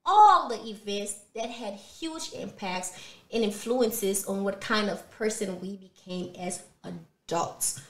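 A woman's voice: medium pitch, expressive, takes it slowly, reverberant. A woman in her thirties from Haiti with a Haitian Creole accent, first language Creole, talking expressively to camera in a motivational vlog and repeating words for emphasis. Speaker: motivational vlogger. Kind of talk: personal motivational talk to camera. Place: small indoor studio room.

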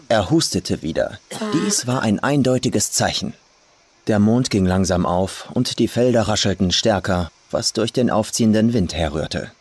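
A man reading a scripted horror story as an audiobook narrator. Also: lowish voice. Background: faint atmospheric ambience.

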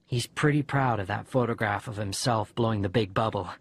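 A man reading a first-person children's story as an audiobook narrator, with a husky voice. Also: fairly low pitch, brisk, no room sound. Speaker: audiobook reader.

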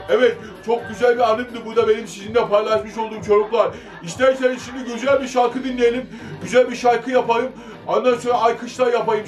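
A man playing a mischievous young male comic servant who chats playfully to children and keeps repeating himself. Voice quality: chatty, repeats himself, high squeaky put-on voice. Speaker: children's show entertainer. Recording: echoey, distant, music behind.

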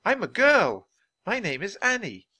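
A man's voice: high voice, big pitch swings, unhurried, pushed and bright, voice pushed up, full character voice. A man voicing a bold, cheerful little girl in a storybook, speaking in a frank and eager way. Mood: forthright.

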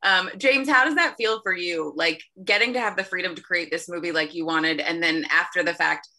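Bright, breathy young woman moderator. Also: fairly low pitch, brisk. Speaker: press conference moderator. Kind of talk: moderating a virtual press conference. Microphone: video call.

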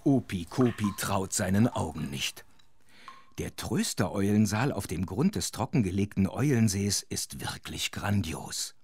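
Husky, animated children's storyteller. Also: male voice, low-pitched, measured pace, heavily acted. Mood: wondering, playful. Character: chatty, wondering storyteller in children's audio play. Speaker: narrator. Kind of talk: scripted, playful storytelling narration.

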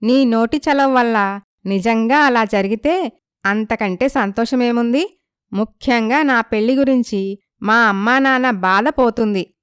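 A woman playing a proud, assertive young woman from a poor family in an animated moral story. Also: on the high side, a little slow, smooth, dry recording, studio quality, close to an everyday voice. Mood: wistful.

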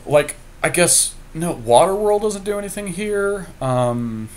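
A man's voice: high-pitched, expressive, measured pace, slight background noise. A man giving casual, slangy game commentary with some swearing, as a podcast host playing along. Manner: slangy, profane.

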